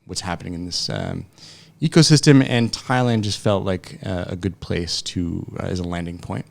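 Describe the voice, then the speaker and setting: Very deep, creaky man from France. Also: sing-song, darkish tone. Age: late 30s. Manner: halting, with frequent hesitations and restarts. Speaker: interview guest, startup founder. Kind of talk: conversational interview answers. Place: podcast studio.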